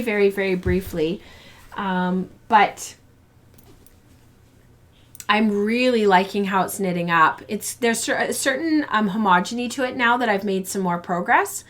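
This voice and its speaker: A woman hosting a live-streamed fiber arts show, chatting casually with many ums and restarts, her voice going hoarse. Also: medium pitch, fairly bright tone. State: losing her voice.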